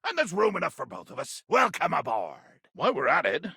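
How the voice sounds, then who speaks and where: An actor playing a small, hot-tempered, pompous pirate in a video game, with a gravelly, raspy voice and florid, theatrical, old-fashioned phrasing. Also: male voice, fairly high pitch, huge pitch swings, a little fast, pushed and bright, dry recording, clean studio sound, exaggerated voice. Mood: grandly gallant. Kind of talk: video game character acting.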